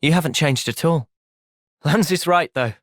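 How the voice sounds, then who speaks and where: A man with an English accent voicing an earnest, thoughtful young soldier hero in a fantasy video game, speaking in a measured, reflective way. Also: a little high, no echo, clean studio sound, natural voice. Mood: calm, thoughtful. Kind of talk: video game cutscene acting.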